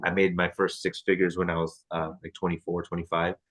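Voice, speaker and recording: Thirties guy on a video call, real low flat voice, talks fast and rambly. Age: 30s. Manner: loose and chatty, many fillers and restarts. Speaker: team leader running a training call. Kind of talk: casual video call chat.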